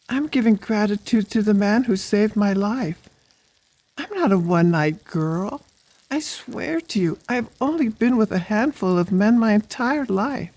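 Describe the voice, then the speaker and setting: A woman's voice: fairly high pitch, darkish tone, no room sound. A woman playing a tender, insecure woman devoted to her lover, speaking earnestly in an audiobook drama.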